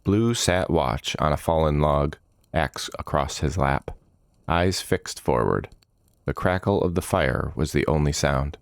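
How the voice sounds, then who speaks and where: Very deep-voiced male fantasy narrator. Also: dry recording, clean studio sound. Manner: measured, solemn, with weighted short phrases. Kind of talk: dramatic scripted fantasy narration.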